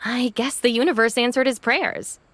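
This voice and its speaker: A woman's voice: a little high, steady tone, moderate pace, a bit bright, close to an everyday voice. A woman playing a capable young gang deputy in a game, dry and matter-of-fact. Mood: dry, wry. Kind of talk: animated game dialogue.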